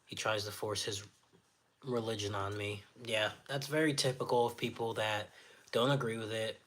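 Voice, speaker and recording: A man with a naturally raspy, slightly nasal voice, hosting a casual livestream, reading viewer comments aloud and answering them in a friendly, encouraging way, sniffling often. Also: on the low side, flat delivery, normal speed, fairly bright tone. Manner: friendly, encouraging, chatty with filler words. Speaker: livestream host.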